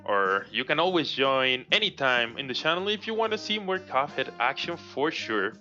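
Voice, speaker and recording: Spanish-accented Mexican man, 30s, relaxed live gameplay commentary; muffled stream audio over clear game music. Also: medium pitch, normal speed. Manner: relaxed, chatty, laughs often, reads chat aloud. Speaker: speedrunner.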